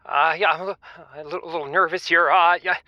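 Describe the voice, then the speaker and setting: An actor as a flustered, stammering bachelor caller. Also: male voice, on the high side, fairly even intonation, quick pace, dry recording, muffled recording, lightly acted. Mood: nervous. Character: nervous sexually frustrated bachelor calling a radio advice show. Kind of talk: comedic audio drama radio call-in.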